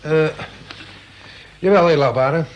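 A man's voice: a little high, unhurried, lo-fi sound, close to an everyday voice. An actor playing a polite, deferential accused clerk in his thirties in a radio play. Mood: insistent. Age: 30s. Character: clerk of thirty-one, accused, submissive son of domineering mother. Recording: faintly noisy radio play recording.

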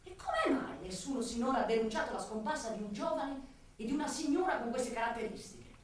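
An actress playing a sardonic, brooding police detective in a theatrical radio drama monologue. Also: female voice, high-pitched, big pitch swings, a little fast. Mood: grim, focused. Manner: dramatic, shifting from snappy retorts to pensive musing. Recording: distant, very echoey room, faint noise.

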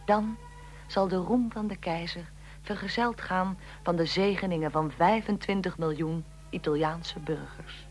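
A woman's voice: fairly low pitch, unhurried, slight background noise, lightly acted. A woman playing a curious, sympathetic interviewer of historical figures in a radio drama. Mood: solemn reading.

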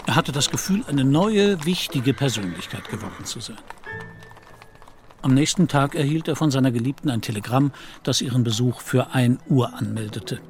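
A man as composed storyteller, mid-pitched male voice, literary narration, radio drama, clear music bed. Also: animated, normal speed, close to an everyday voice.

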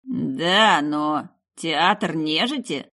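A woman voicing a gruff, suspicious male military commander in an audiobook, curt and grumbling. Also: low-pitched, expressive, very slow, sharp tone, exaggerated voice. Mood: sceptical.

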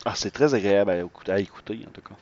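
A man's voice: fairly low pitch, rapid-fire, a little mellow, lo-fi sound. A man with a Québécois French accent interviewing someone in a casual, chatty way. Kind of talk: casual chat.

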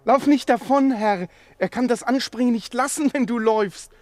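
A man's voice: extremely high pitch, moderate pace, over loud music. An actor playing a meek Greek tailor in a radio play, who coaxes animals like babies and speaks deferentially to his betters. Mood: anxious, deferential. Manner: coaxing baby talk to animals, deferential to his lord. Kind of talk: theatrical radio play acting.

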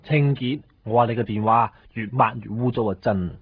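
A man's voice: medium pitch, normal speed, close to an everyday voice. Actor as sarcastic Hong Kong man, phone-like sound. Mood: accusing, sarcastic. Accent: Hong Kong Cantonese. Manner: scolding, sarcastic. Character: suspicious, sarcastic, nagging male companion. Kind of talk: 1990s Cantonese radio drama acting. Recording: thin phone-like sound, faint noise.

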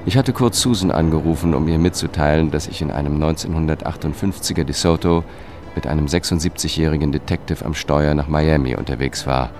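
Low-voiced investigator narrating. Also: male voice, a little fast, a little mellow, faint music behind. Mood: matter-of-fact narration. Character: wary male government lawyer turned amateur investigator, courteous. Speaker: first-person narrator and lead. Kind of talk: radio drama narration and dialogue.

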